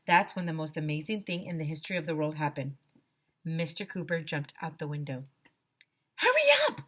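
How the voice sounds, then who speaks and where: A woman teacher reading a children's storybook aloud in an expressive narrating style. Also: deep voice, a little fast.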